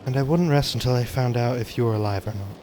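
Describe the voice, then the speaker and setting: A man voicing a gentle, supportive young wife who speaks softly and reassuringly in a dramatic story reading. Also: medium pitch, fast, a little hiss or hum, pitched above the performer's own voice, natural voice, low-quality recording. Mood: devoted, soothing. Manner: soft, reassuring.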